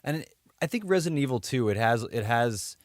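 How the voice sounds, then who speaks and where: Male co-host, medium pitch, loose conversational delivery, very dry studio-like sound. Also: expressive, a little fast, dry recording. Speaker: podcast co-host. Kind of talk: casual movie discussion.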